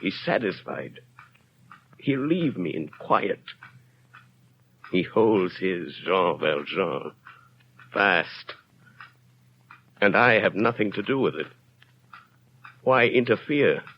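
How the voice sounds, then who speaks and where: An actor playing a tormented middle-aged former convict turned mayor, talking through a brooding inner monologue in a 1930s radio drama. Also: male voice, fairly low pitch, expressive, slow pace, long pauses. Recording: dull lo-fi 1930s radio broadcast, faint hiss.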